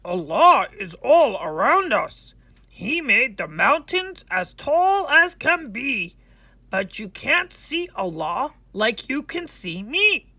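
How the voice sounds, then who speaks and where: A wise storybook beast, voiced by a woman, speaking extremely slowly and emphatically. The voice is fairly high, solemn and deliberate. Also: sing-song, pushed and bright, husky, full character voice. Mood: solemn, proud. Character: wise, kindly wild animals of a storybook.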